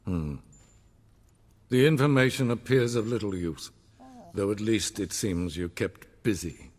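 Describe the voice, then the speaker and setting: A cold, imperious emperor in a fantasy video game. He speaks low and measured, haughty and impatient, his commands carrying through a long hall reverb. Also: darkish tone. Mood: impatient disdain.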